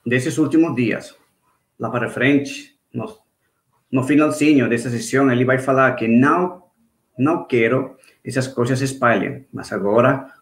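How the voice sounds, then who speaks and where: A man from Venezuela with a Venezuelan Spanish accent, a native Spanish speaker, teaching an online church class in a halting way, with restarts and checks for agreement. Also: medium pitch, echoey room. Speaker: Sunday school teacher. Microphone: video call.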